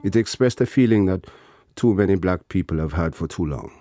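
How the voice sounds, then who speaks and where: An actor playing a Trinidadian activist who looks back on his stifling childhood with resentment, in measured, reflective storytelling over music. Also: deep voice, expressive. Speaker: actor reading an autobiography. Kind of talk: dramatic autobiography narration. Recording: music under the voice.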